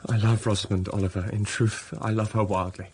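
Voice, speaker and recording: A man's voice: lowish voice, flat delivery, brisk, a little mellow, slightly rough. An actor playing a stern, self-denying young clergyman in a period radio drama, speaking formally and measuredly. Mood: intense, austere.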